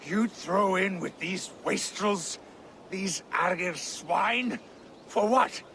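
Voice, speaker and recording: An actor playing a brutal, scornful, bloodthirsty Dane warlord in a video game, taunting with contempt. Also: male voice, high-pitched, slow, a little hiss or hum, heavily acted. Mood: contemptuous. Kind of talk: video game dramatic acting. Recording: loud music behind.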